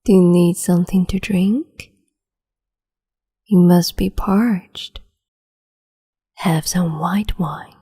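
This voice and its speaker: A woman playing a breathy whispering cruel empress. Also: on the low side, fairly even intonation, mellow, full character voice. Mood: cruel doting. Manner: slow, teasing, condescending, doting. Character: cruel capricious female empress, young adult. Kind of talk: ASMR roleplay audio.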